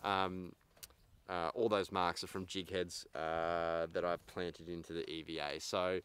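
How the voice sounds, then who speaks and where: A man's voice: sits low, little pitch movement, a little slow, fairly bright tone, clean tone. A man in his thirties giving a casual, blunt and opinionated product review to camera as a vlog host. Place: outdoors on a parked boat in a grassy yard.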